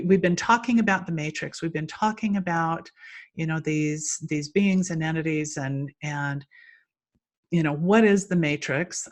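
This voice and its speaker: A woman hosting an online spiritual healing session, talking at length with many fillers and restarts over a video call. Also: low voice. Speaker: host of an online healing challenge. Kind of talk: spiritual teaching talk.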